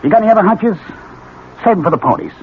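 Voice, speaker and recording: An actor playing a bossy, impatient police lieutenant in a 1970s radio drama, curt, dismissive and slangy. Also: male voice, sits high, big pitch swings, rapid-fire, narrow, tinny audio. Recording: noisy, band-limited old radio recording.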